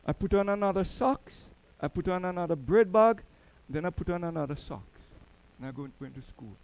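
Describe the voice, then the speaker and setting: A middle-aged man from Jamaica with a Jamaican accent, preaching a sermon as a church elder and telling stories that swell louder on key words. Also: high voice, expressive, moderate pace, low-quality recording.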